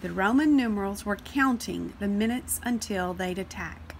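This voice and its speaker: A woman reading a children's picture book aloud in an expressive storytelling style, acting out the dialogue. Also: medium pitch, vocal fry, slight background noise. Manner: measured, acts out dialogue.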